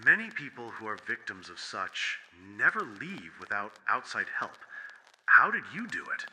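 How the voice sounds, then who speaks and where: A man playing a measured therapist in an audio horror drama, questioning deliberately and clinically. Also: on the low side, wildly swooping pitch. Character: probing, measured male therapist. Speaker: voice actor. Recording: long reverb with faint hiss and clicks.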